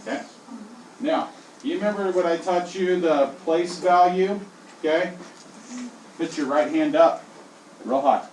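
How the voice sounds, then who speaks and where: A male elementary school teacher leading his class in call-and-response, swinging his voice high and low as he drills them. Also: a little slow, long pauses, darkish tone. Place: classroom, indoors. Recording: echoey classroom from far off, with noise.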